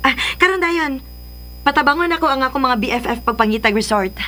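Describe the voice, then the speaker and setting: An actress playing a pampered young daughter, sweet but willful, in a radio drama, with a Filipino accent. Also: female voice, sits high, animated, fast talker, sharp tone, a little hiss or hum. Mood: sweet, excited. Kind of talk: Philippine radio drama acting.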